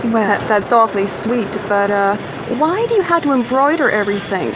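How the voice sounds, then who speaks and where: An actress playing a pushy, eager high school girl in a comic radio play, direct and perky. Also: animated, moderate pace, lo-fi sound, natural voice. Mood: friendly, frank. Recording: noisy original workshop recording.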